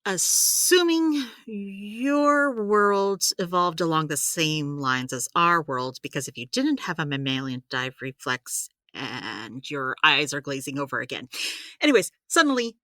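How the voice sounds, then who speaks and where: Chatty, animated, bright-voiced healer. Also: female voice, low-pitched, dry recording, heavily acted. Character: supernatural healer woman, practical, chatty, gently caring mentor. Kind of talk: audio drama dialogue.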